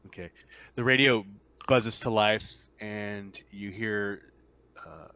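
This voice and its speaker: A man's voice: fairly low pitch, takes it slowly, pushed and bright, smooth, no room sound. A man running a tabletop roleplaying game as game master, narrating informally and off the cuff with some hesitation.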